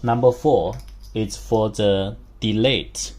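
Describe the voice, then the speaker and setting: Male product demonstrator, non-native English speaker, slow and halting, lowish pitch, step-by-step instructional read. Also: steady tone, darkish tone. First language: not a native English speaker. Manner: halting, simple phrasing in short chunks.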